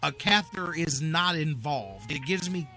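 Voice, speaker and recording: A man with a higher-pitched, bright and punchy American voice hosts a sports talk show. He speaks casually and slangily, hammering phrases by repeating them for emphasis. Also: animated, normal speed, faint music behind. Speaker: sports talk radio host.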